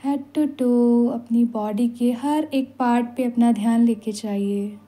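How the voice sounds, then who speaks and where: Slow, mellow Indian woman guiding meditation. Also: fairly high pitch. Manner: soothing, unhurried instructions with long pauses. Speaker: yoga and meditation instructor. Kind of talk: guided meditation in Hindi with some English phrases. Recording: voice over background music. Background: meditation music.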